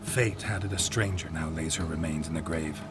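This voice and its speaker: A stoic game monster hunter with a very deep, gravelly voice. He is blunt, weary and deadpan, speaking in short hushed phrases. Loud music runs underneath. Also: normal speed. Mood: solemn. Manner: terse, clipped, deadpan, drops sentence subjects. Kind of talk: video game cutscene acting.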